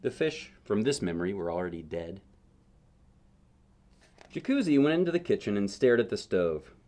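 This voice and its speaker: A man's voice: on the low side, big pitch swings, normal speed, darkish tone. A man reading a children's picture book aloud as a parent would, conversationally, with casual asides and questions to the listener. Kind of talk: storybook read-aloud with chatty asides.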